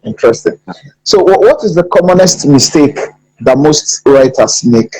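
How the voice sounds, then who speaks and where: Male host, husky and somewhat high for a man, short stumbling questions. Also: animated, darkish tone, low-quality recording. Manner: brief acknowledgements, halting questions. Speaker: interviewer, show host. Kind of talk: interview questions.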